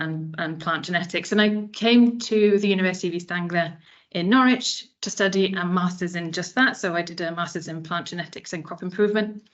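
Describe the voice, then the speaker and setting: A woman in her thirties from South Wales, presenting as a genomics specialist in a webinar, explaining steadily with frequent ums. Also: fairly low pitch. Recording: dull lo-fi webinar audio.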